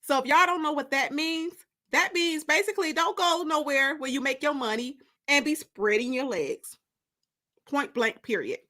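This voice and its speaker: High, bright, theatrical woman storyteller. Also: normal speed. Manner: expressive, theatrical. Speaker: author and host of live story time. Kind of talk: casual livestream chat and dramatic book narration.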